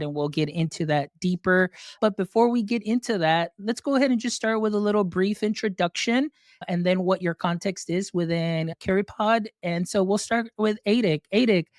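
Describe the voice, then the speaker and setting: A man's voice: high-pitched, dry recording. A man hosts his podcast in an upbeat, effusive way. He greets listeners and guests with lots of thanks. The recording is dry and close. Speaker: podcast host. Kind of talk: enthusiastic podcast interview.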